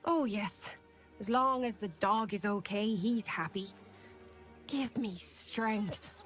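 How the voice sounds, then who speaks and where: A long-suffering farmer's wife from a video game, exasperated and drily sarcastic. Her pitch is average and quiet music runs beneath her. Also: slow pace. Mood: weary sarcasm. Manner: weary, sarcastic. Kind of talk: video game character dialogue. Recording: music behind the voice.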